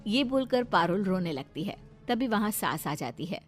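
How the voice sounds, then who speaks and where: A woman's voice: medium pitch, sing-song, normal speed. Casting: female storyteller, scripted bedtime-tale narration, faint music bed.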